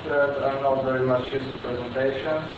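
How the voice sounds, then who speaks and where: A man's voice: medium pitch, little pitch movement, normal speed, background noise. A man in his thirties whose first languages are Italian and Czech, giving a hesitant public talk full of fillers in a small lecture room. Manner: hesitant, many fillers, self-described shy. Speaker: presenter. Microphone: far camera. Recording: echoey lo-fi room recording from far off.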